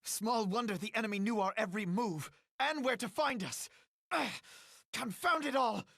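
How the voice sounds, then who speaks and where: A man's voice: high voice, a little slow, creaky, fairly bright tone, no echo, clean studio sound, full character voice. A man playing an earnest, resolute young scholar leader in a video game, with formal, theatrical diction. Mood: anguished self-reproach. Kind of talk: dramatic video game cutscene acting.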